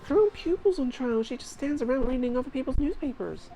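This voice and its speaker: Woman doing a shrill, peppy girl sidekick. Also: animated, fairly quick, warm tone, faint music behind, low-quality recording. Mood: indignant. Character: peppy young female sidekick, loud and indignant.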